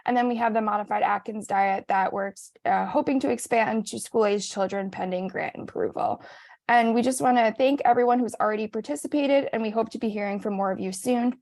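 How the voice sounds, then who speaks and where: A woman researcher giving a measured presentation at a virtual conference. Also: fairly high pitch, darkish tone, dry recording, studio quality. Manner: measured, reads prepared points.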